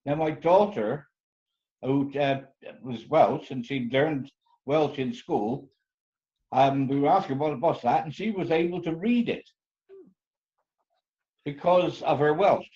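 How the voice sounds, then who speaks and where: A man's voice: on the high side, mellow. Man talking over dull call audio. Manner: chatty, halting. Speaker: lecture participant. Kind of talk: casual discussion. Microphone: video call.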